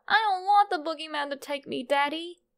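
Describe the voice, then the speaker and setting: A woman's voice: high voice, normal speed, voice pushed up. A woman voicing an eager, inquisitive, nervous little boy in a horror story reading. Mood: frightened. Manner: excitable, inquisitive.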